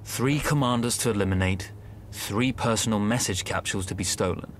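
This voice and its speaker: An actor playing a composed, confident military officer narrating a war mission in a video game. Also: male voice, fairly low pitch, brisk, a little hiss or hum, lightly acted. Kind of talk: scripted narration. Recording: loud music under the voice. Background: loud music.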